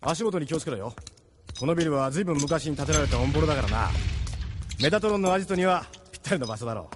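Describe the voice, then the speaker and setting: An actor playing a steadfast, authoritative captain of a resistance ship in an anime audio drama, with a firm manner. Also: male voice, fairly high pitch, lively intonation, fast, music in the background, close to an everyday voice. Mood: brisk. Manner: firm, commanding.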